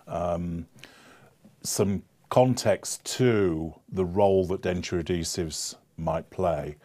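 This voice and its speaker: A man hosting a webinar, making brisk and polite handovers between speakers. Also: sits low, a little mellow. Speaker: webinar host. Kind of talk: moderating a webinar.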